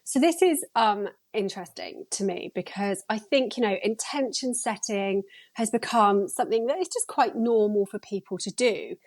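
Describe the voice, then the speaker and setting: A woman's voice: mid-range voice, moderate pace, hardly any pauses. A woman speaking as a podcast guest, enthusiastic and encouraging in casual conversation. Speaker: podcast guest, energy healer.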